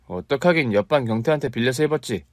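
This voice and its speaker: A man's voice: mid-range voice, animated, quick pace, dry recording, studio quality, lightly acted. A man doing a nagging little boy. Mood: complaining. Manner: nagging, childish. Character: fussy, tidy young boy nephew.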